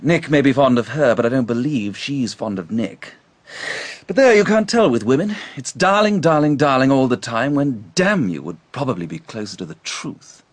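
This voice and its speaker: An actor playing a bluff, grumbling gentleman in a radio drama, emphatic and scornful. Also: medium pitch, animated, moderate pace, close to an everyday voice.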